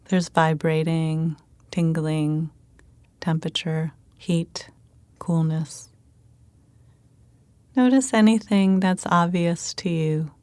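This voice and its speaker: Female meditation guide: calm, gentle, very unhurried, slightly low voice, dry intimate recording. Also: smooth, no echo.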